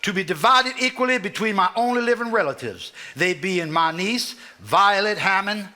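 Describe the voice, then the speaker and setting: Man acting formal Southern lawyer, high bright voice. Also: animated, exaggerated voice. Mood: solemn, formal. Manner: formal, measured, declamatory. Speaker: stage actor. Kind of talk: live stage drama, formally reading a will aloud.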